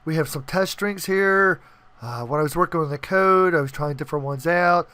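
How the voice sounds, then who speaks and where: Clear, higher-pitched man giving a casual tutorial. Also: normal speed, pushed and bright, dry recording. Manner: informal, checks in with listeners often. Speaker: host of coding tutorials. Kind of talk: casual screen-recorded programming tutorial narration. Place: indoors, voice-over on screen capture.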